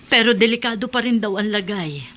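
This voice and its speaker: An actress playing a mother speaking gently and with concern to her son. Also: medium pitch, brisk, natural voice. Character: older mother, gentle and worried.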